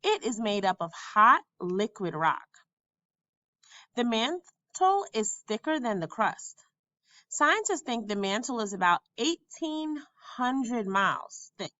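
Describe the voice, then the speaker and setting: A woman reading a children's science book aloud with deliberate, emphatic phrasing, like a teacher for young learners. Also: a little high, very animated, sharp tone, dry recording, lo-fi sound. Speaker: school staff member reading a textbook aloud.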